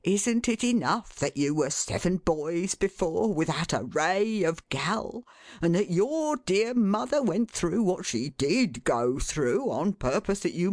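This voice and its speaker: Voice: medium pitch, no room sound, studio quality, pitched above the performer's own voice. A reader doing a flustered, harried father. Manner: exasperated scolding, then softening. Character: flustered harried father of a big poor family. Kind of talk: audiobook character voice.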